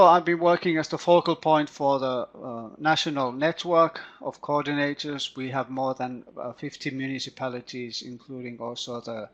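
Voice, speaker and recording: A middle-aged man with a Finnish accent gives an online lecture as a teacher trainer, speaking haltingly and often repeating words. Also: medium pitch, a bit bright. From Finland. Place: home study room. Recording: muffled lo-fi online call audio.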